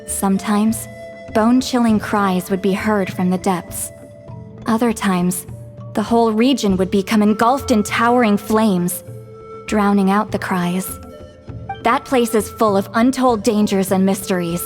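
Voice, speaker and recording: An actress playing a wise, wry elderly woman who guides spirits, speaking in an unhurried storytelling way for a video game. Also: mid-range voice, soft background music, natural voice. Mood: solemn storytelling.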